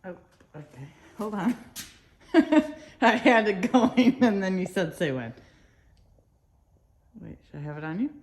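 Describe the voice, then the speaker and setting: Casual woman, average pitch. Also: lively intonation, measured pace, long pauses, darkish tone. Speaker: camera helper. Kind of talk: casual off-hand chat.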